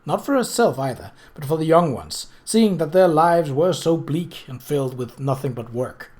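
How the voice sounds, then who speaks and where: Audiobook reader, medium pitch, scripted novel narration, occasional stumbles and rereadings. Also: lively intonation, normal speed, a little mellow. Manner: stumbles over words and rereads them.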